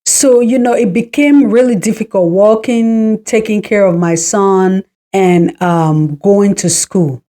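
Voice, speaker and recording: A woman's voice: mid-range voice, slow, few pauses, dark tone. A woman in her forties from Cameroon, hosting a podcast and telling her personal story in a reflective, encouraging way. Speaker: podcast host.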